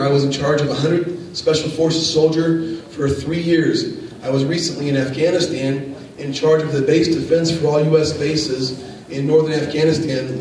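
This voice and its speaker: A man's voice: fairly high pitch, darkish tone. A man losing his voice, giving a firm, emphatic campaign speech as the current county attorney. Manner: firm, emphatic, repeats key points. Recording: echoey room from far off, faint noise.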